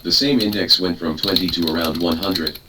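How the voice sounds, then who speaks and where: A man narrating a translated speech transcript in a formal, even reading voice. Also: deep voice, normal speed. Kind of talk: read-aloud speech transcript. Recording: distant mic with clear background noise.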